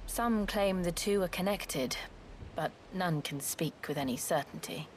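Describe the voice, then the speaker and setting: An actress in a video game cutscene playing a composed, knowledgeable companion who speaks cautiously and formally. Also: female voice, lowish voice, unhurried, slight background noise, lightly acted. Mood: measured.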